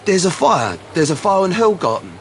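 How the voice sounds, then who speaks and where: A hapless young-sounding male constable with a high, swooping voice. He is timid and plaintive, stumbling defensively through his answers in a radio drama, with faint noise behind him. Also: very animated, moderate pace. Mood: flustered, defensive. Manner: hesitant, flustered, defensive, plaintive. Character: hapless, dim, timid male patrol policeman.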